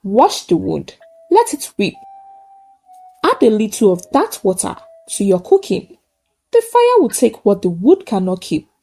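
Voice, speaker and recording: A woman's voice: medium pitch, huge pitch swings, measured pace, vocal fry, mellow, soft background music, full character voice. A woman voicing a knowing old male village healer who gives solemn, short instructions.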